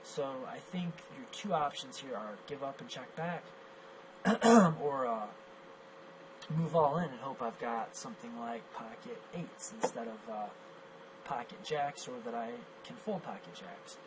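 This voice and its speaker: A man with a hoarse, worn-out voice talks through poker hands, judging his own play and his opponent's as he goes. His pitch sits in the middle range, and a clear hum of background noise runs under the recording. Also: animated, a little slow. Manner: analytical, self-critical, thinks aloud with fillers. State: hoarse, lost voice from coughing. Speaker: poker coach reviewing his own match. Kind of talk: screen-recorded hand review commentary.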